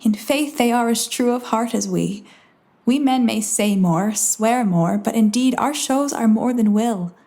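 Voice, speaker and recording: A woman playing an earnest young page, a girl disguised as a boy, in a Shakespearean audio drama, gently insistent. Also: medium pitch, unhurried, vocal fry.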